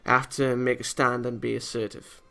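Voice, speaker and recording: Gravelly, bright-voiced man reading aloud. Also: mid-range voice, level tone, moderate pace. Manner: stumbles over and repeats read lines. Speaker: let's play host. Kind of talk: reading a visual novel aloud with casual commentary. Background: game music.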